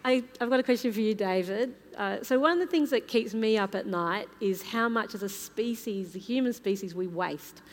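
A woman's voice: mid-range voice, moderate pace, few pauses. A woman moderating a live panel, speaking unscripted in an earnest, conversational way. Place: large indoor hall at a live museum event. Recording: hall reverb, noisy live event.

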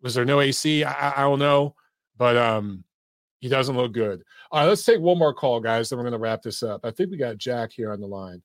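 A man's voice: mid-range voice, lively intonation, a little fast, no echo, studio quality. A man from Detroit hosting a call-in sports show, talking in a chatty, emphatic way. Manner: chatty, emphatic, swears casually. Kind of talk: casual sports talk.

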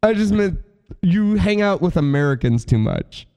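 A man's voice: high voice, sing-song, normal speed, creaky, warm tone. A man co-hosting a comedy podcast, swearing, teasing and laughing often. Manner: profane, teasing, laughs a lot. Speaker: podcast co-host. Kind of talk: casual comedic banter.

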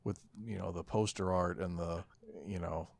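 A man's voice: deep voice, fairly even intonation, moderate pace, creaky, dry recording. A man co-hosting a podcast in casual chat, hesitant and self-deprecating as he talks. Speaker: podcast co-host.

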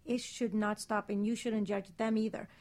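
A woman's voice: mid-range voice, flat delivery, normal speed, darkish tone. A woman on a radio panel arguing her opinion in casual, insistent, emphatic conversation.

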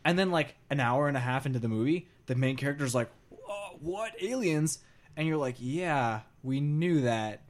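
An expressive man with a slightly raised pitch talks as a casual podcast co-host. He banters jokingly and loosely, with plenty of movement in his intonation. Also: normal speed. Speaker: pop culture podcast co-host. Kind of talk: casual group chat.

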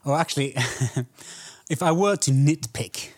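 A man hosting a film podcast, discussing movies casually in a measured, slightly tentative way. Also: a little high.

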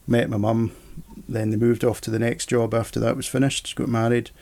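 Middle-aged man, guest in a casual chat, gravelly and mellow, rambles easily. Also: medium pitch, flat delivery, normal speed. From Scotland. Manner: easygoing, rambling storyteller. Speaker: interview guest. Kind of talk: casual conversation.

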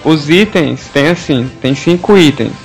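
Slightly high-voiced man over music. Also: expressive, a little slow. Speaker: podcast panelist, behavior analyst. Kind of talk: casual discussion.

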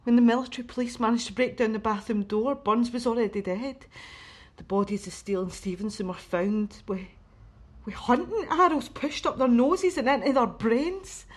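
An actress plays a shaken woman in a horror radio play. Her voice sits a little high, and she tells her grim account haltingly and gravely. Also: natural voice. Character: shaken woman recounting grisly military deaths. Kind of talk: radio horror drama monologue.

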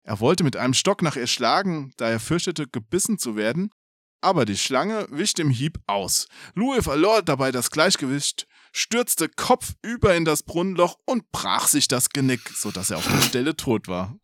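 A man's voice: on the high side, very animated, moderate pace, fairly bright tone, no room sound, studio quality. A man reading a folk tale aloud expressively as a podcast storyteller, with casual asides.

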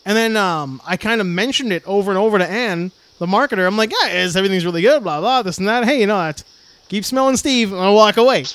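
High-voiced man telling a rambling story. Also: quick pace, smooth. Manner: rambling storyteller, laughs often, animated retellings. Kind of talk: casual chat.

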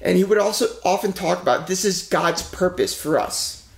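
Male podcast co-host, gravelly, rambling filler-heavy storyteller, swears, echoey room. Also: sits high, expressive, normal speed. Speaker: podcast co-host telling a true-crime case. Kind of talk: casual true-crime podcast chat.

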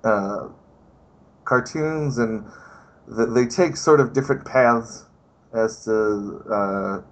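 A man's voice: on the low side, slow pace. A young man guesting on a podcast, joking casually with lots of hesitant fillers.

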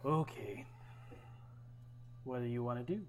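A man's voice: mid-range voice, a little slow, slight background noise. A man narrating as game master of a tabletop game, loose and hesitant with many fillers.

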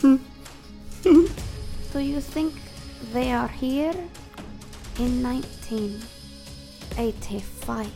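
A wry woman gunslinger, as a woman puts her on for a tabletop game. She speaks high and in no hurry, stretching every word out in a put-on accent. Faint music plays underneath. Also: dark tone. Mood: wondering. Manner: drawn-out put-on accent, slow.

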